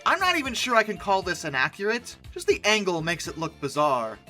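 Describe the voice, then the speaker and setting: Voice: mid-range voice, sing-song, fairly quick, pushed and bright, faint music behind. A video host giving comic commentary with a sarcastic, mock-incredulous and often exasperated delivery. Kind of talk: comic commentary on dinosaur art.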